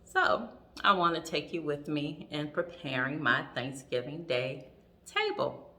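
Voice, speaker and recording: A woman with a raspy voice chatting casually in a home vlog, full of ums and restarts, with her throat a little sore. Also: low voice, lively intonation, a little slow, pushed and bright, distant mic. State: throat trouble, clears throat. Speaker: vlogger.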